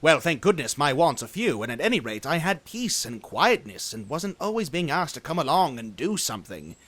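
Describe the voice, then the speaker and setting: A man voicing a lazy, bashful gentleman dragon in a dramatized storybook, rambling on in genteel, eager, old-fashioned talk. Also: fairly high pitch, sing-song, normal speed, no room sound. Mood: rambling storytelling. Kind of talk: dramatized storybook character acting.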